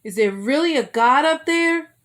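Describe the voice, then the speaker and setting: A woman's voice: high-pitched, expressive, normal speed, a bit bright, pitched above the performer's own voice. Woman reading as earnest, troubled homeless man. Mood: wondering. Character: lonely, troubled homeless man, gruff then grateful.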